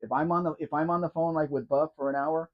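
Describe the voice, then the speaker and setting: A man's voice: fairly high pitch, fairly even intonation, quick pace, muffled recording. Chatty man hosting a live Q&A. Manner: chatty, answers chat by name, wanders while talking. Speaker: livestream host. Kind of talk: casual live Q&A chat.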